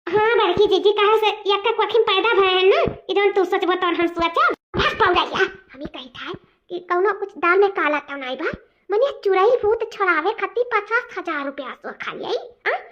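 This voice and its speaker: A woman voicing a fretful, excitable village mother in a comic cartoon, chattering repetitively in a rustic eastern Uttar Pradesh accent. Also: very high voice, normal speed. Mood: suspicious gossip. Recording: dull lo-fi cartoon soundtrack.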